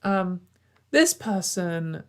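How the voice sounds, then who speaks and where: A woman's voice: lowish voice, very animated, drawn-out words. A woman hosting a casual commentary video from home, sarcastic and exasperated, with frequent sighs and fillers.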